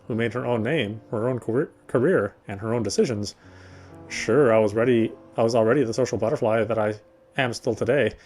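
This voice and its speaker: A man's voice: medium pitch, a little mellow. A man reading a visual novel's narration aloud as a let's player. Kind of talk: read-aloud narration of a game script.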